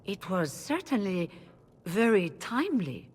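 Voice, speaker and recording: An actress playing a weary, defensive woman who leads rebel mages, speaking in a formal, measured way. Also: mid-range voice, very animated, husky. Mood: troubled. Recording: long hall reverb.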